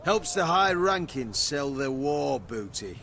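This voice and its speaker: An actor playing a mocking, insubordinate soldier in a video game, creaky-voiced, casual and slangy. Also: male voice, a little high, big pitch swings, takes it slowly, sharp tone, slight background noise, full character voice. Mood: mocking, knowing. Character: sardonic, insubordinate male army soldier. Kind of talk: video game cutscene acting.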